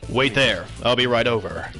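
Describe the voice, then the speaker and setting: A man's voice: medium pitch, normal speed, over music, natural voice. A man reading a prickly, curt young woman who hides her care behind scolding.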